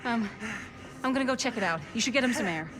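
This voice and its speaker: A woman playing a brave, watchful young investigator in a video game cutscene, direct and quick to take charge. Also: on the high side, animated, natural voice. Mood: concerned.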